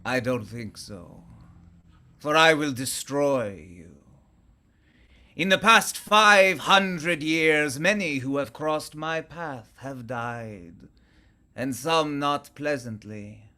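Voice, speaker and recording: Very slow, imperious actor as a vampire count. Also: male voice, a little high, lively intonation, bright, forceful tone, exaggerated voice. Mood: commanding threat. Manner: measured, commanding, deliberate. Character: ancient, imperious vampire count, coldly menacing. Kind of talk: theatrical radio play acting.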